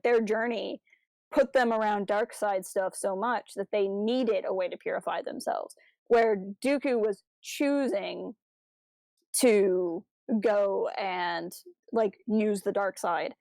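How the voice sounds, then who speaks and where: A woman's voice: a little high, darkish tone, no room sound, rough recording. A woman co-hosting a podcast in casual fan discussion, phrasing hesitantly with lots of "like". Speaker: podcast co-host.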